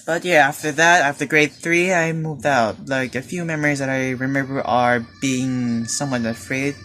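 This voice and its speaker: A teenage Filipino man telling a personal story in a hesitant, halting way, with many fillers and restarts. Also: medium pitch, fairly even intonation, bright, forceful tone, soft background music. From Philippines. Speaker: student. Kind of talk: personal confession monologue.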